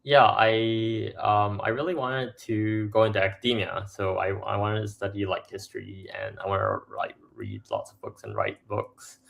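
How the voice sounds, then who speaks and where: A young man from Singapore chatting as a podcast guest. His voice sits a little low and has a gravelly edge. He stacks fillers and restarts sentences as he thinks aloud. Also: moderate pace, hardly any pauses, fairly bright tone. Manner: filler-heavy, restarts often, laughs between points. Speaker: podcast guest, startup co-founder. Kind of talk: casual interview chat.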